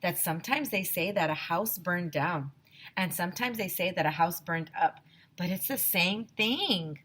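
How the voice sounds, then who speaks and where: A woman's voice: lowish voice, animated, normal speed, a bit bright, a little hiss or hum. A woman voicing a brash, teasing schoolboy who cracks jokes in a children's storybook. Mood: musing. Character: cheeky, wisecracking schoolboy narrator of a children's story.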